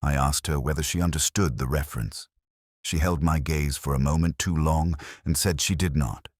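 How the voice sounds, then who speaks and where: A man playing a brooding, weary police inspector, narrating a literary detective audio drama in measured, contemplative phrases. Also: a bass voice, dry recording, studio quality. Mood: quietly suspicious.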